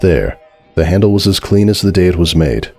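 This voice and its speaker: A man giving a dramatic scripted narration of a story in a measured, solemn way. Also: sits low, expressive, darkish tone, dry recording. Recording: music behind the voice.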